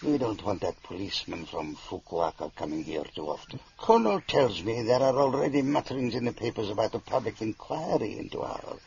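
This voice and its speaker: A cold, self-important arch-villain speaks low and gravelly, with measured menace and aggrieved grandeur. It is radio drama, on a slightly muffled recording. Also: male voice, expressive, a little mellow. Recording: dull radio recording, faint noise.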